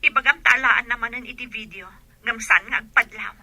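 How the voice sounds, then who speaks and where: A commanding mistress in a radio drama. Her voice is piercingly bright and somewhat high, and she gives orders briskly. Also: dry recording. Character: bossy mistress of a mansion instructing her maid. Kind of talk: Ilocano radio drama acting.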